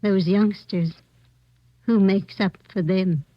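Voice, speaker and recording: A woman's voice: medium pitch, steady tone, takes it slowly, lightly acted. Precise, officious woman auditor, played by an actress. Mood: reproving. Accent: American. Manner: fussy, exact, formal. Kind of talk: 1950s radio drama acting. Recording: dull vintage radio recording.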